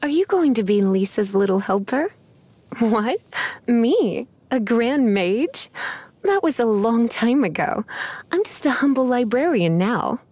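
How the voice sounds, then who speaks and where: A flirtatious witch librarian purrs in a breathy, sultry voice. She takes her time, honeyed and coy, sliding freely up and down in pitch as a teasing game character would. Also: very animated, unhurried, a little mellow, no echo. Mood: sultry, playful. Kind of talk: English video game character voice lines.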